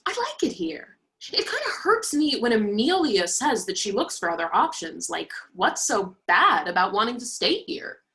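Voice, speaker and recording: A woman reading the part of a chatty, naive young college roommate in a play, expressive and opinionated. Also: medium pitch, moderate pace. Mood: friendly. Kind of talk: virtual play reading, naturalistic dialogue.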